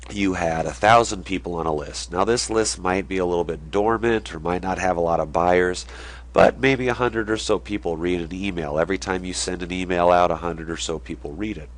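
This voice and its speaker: A young man with a stuffy nose from a cold, explaining marketing in a casual video while sketching on a board. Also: sits low. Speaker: online marketing video host.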